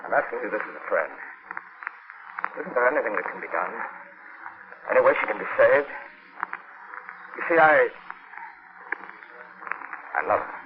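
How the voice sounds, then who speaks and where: An actor playing an anxious, lovestruck hero with urgent, melodramatic delivery in 1940s radio drama style. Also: male voice, mid-range voice, big pitch swings, normal speed, pauses often, natural voice. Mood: pleading. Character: anxious, forceful man in love, horror-story hero. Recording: dull, noisy old radio recording.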